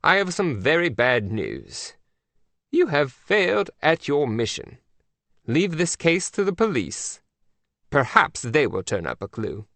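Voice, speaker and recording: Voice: medium pitch, big pitch swings, a little slow, fairly bright tone, no echo, pitched above the performer's own voice. A reader putting on a grand, haughty old queen. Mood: solemn. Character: haughty, dramatic queen, older woman, easily excited. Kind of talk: audiobook character voice.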